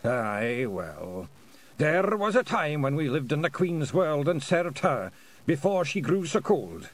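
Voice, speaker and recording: A man voicing a small, polite, proud pixie bard with a Scottish accent, rolled Rs and clear, careful speech. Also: on the high side, sing-song, slow, voice pushed up, exaggerated voice. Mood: guarded, solemn. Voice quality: rolled drum-roll Rs.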